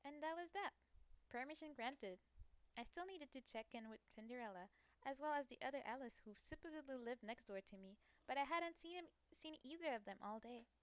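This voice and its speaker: A woman streamer reading a visual novel aloud in an expressive storytelling style. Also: sits high, a little fast, clear, resonant tone, dry recording. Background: game music.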